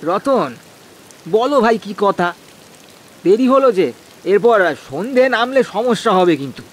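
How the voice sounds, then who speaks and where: A man's voice: high-pitched, animated, unhurried, breathy edge, exaggerated voice. A man voicing an anxious, stammering village farmer in a cartoon, with rustic speech. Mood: worried. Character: anxious, hard-working village farmer, timid husband. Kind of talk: cartoon voice acting.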